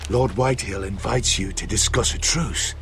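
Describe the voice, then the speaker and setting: A man's voice: on the low side, moderate pace, fairly bright tone, soft background music. A man playing a dutiful, cautious castle retainer in a dramatic fantasy video game. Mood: formal.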